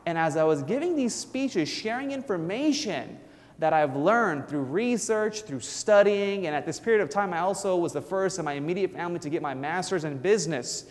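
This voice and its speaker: A man in his thirties with a fairly high voice gives an earnest motivational talk. He speaks emphatically, now and then breaking off to restart a word. The sound carries a long hall reverb. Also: animated, normal speed. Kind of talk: inspirational speech.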